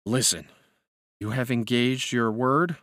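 A man's voice: mid-range voice, slow, fairly bright tone, no echo, studio quality. A man voicing a deluded, imperious madman in a storybook reading, grandiose and commanding, with simmering rage. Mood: controlled menace.

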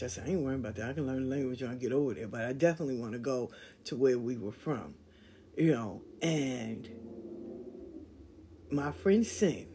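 A woman hosting a podcast, speaking in in a candid storytelling way that swings from loud emphasis to quiet asides. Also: very deep voice, a little hiss or hum. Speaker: podcast host. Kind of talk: casual monologue.

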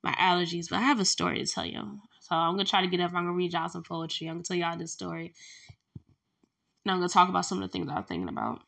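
Young woman, podcast host, gravelly voice, stuffy and congested, casual, chatty, candid. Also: lowish voice, animated, fast, a bit bright. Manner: chatty and candid, with laughs and fillers. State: congested from allergies, lying down. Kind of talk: casual solo podcast chat.

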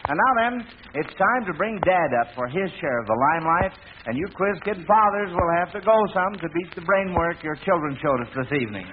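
Chatty hesitant american guy quizmaster, old radio, very thin sound w clear hiss and noise. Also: high-pitched, animated, brisk, hardly any pauses. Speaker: quiz show host. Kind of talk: 1940s radio quiz hosting. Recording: noisy, tinny old radio broadcast.

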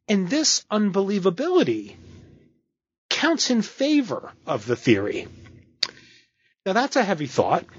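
Man host with lively intonation. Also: high voice, slightly rough, dry recording, muffled recording. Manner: measured, lecturing delivery. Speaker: podcast host. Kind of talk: scripted introduction and interview.

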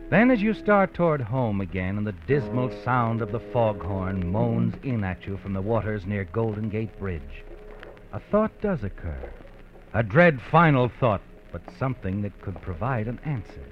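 An actor playing an ominous, knowing narrator of an old radio crime drama, dramatically addressing the hero directly. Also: male voice, mid-range voice, natural voice. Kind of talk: 1940s-50s radio suspense narration. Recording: muffled old radio recording with music.

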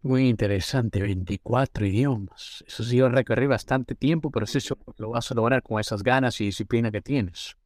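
A man's voice: medium pitch, normal speed, hardly any pauses, darkish tone, dry recording, clean studio sound. A man hosting an interview, affirming his guest and asking friendly questions. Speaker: interviewer host. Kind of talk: casual interview.

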